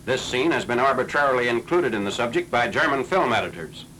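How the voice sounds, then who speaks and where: A man's voice: medium pitch, lively intonation, a little fast, heavy background noise. An American man reading scripted military newsreel narration in a formal, clipped, matter-of-fact way on a 1940s film soundtrack.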